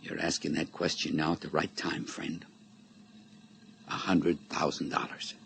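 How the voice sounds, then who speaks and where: An actor playing a sly, menacing middle-aged blackmailer in a radio drama, taunting with mock-friendly, folksy familiarity. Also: male voice, a bass voice, level tone, unhurried, gravelly, a bit bright, exaggerated voice. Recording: radio drama recording with background noise.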